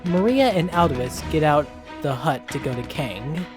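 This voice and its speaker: A man's voice: on the high side, expressive, unhurried. A man reading scripted story narration as the narrator. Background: background music.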